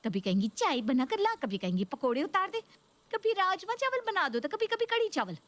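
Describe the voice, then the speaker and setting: A woman's voice: very high voice, big pitch swings, fairly quick, fairly bright tone, full character voice. A woman voicing an exasperated, pleading young working daughter-in-law in a Hindi cartoon story. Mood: fed up.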